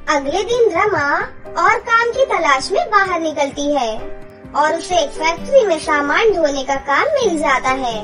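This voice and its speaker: A woman's voice: extremely high pitch, lively intonation, unhurried, fairly bright tone. A woman reading scripted narration for a children's story. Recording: clear music behind the voice. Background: children's music.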